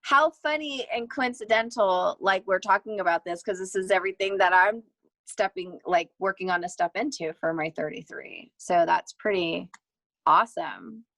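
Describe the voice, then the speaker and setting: Bubbly woman with a gravelly edge. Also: medium pitch, moderate pace, fairly bright tone, no echo. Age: 30s. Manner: bubbly, laughs often. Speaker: client. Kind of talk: casual chat.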